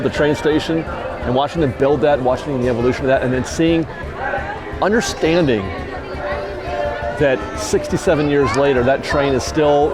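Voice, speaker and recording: A man vlogging outdoors at a theme park at dusk, talking in a reflective, rambling, sentimental way on a lapel mic. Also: mid-range voice. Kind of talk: casual walking vlog talk. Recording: outdoor crowd chatter behind.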